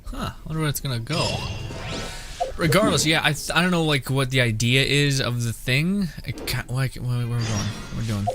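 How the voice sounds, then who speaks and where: A man's voice: medium pitch, moderate pace. A man streaming a game with casual, rambling commentary. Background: game music.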